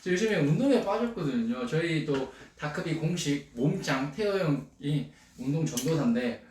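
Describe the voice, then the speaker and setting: Lively young man with a regional Korean accent. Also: a little high, normal speed, distant mic. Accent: Korean regional dialect. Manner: playful, laughs often, lively. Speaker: idol group member on a livestream. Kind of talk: casual livestream chat. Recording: echoey room, off-mic, faint noise.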